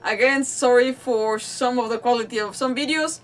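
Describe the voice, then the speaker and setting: Female streamer, higher register, loose unscripted chatter, apologetic and self-mocking. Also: moderate pace, distant mic. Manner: rambling, self-deprecating, apologetic. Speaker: livestream host. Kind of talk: casual live stream chat.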